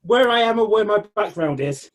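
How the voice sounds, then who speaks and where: A man's voice: high-pitched, expressive, moderate pace, a crowd in the background. A man at a folk club's online session, talking in a hesitant, stumbling way before he sings, heard through a home video call. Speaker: folk club singer. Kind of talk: casual chat and unaccompanied folk singing. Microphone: computer mic on a video call.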